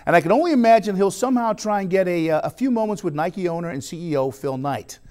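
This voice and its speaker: A man's voice: sits high, fast talker. A middle-aged man hosting a sports show from a TV studio, mixing joking asides into his anchoring. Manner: lively, joking anchor delivery.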